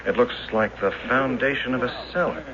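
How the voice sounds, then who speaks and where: An actor with an American accent playing an earnest, stubborn husband in a radio drama, with an insistent, wistful manner. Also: male voice, medium pitch, a little fast, lightly acted. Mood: hesitant, searching. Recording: muffled, noisy 1970s radio recording.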